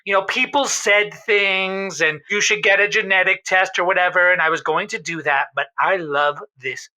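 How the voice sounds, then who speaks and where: A man plays a deluded boyfriend phoning a comedy advice show. His voice is very sharp and bright, and he sounds earnest yet defensive. He justifies himself emphatically. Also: sits high, moderate pace, rough recording. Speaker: caller. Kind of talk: improvised comedy call-in.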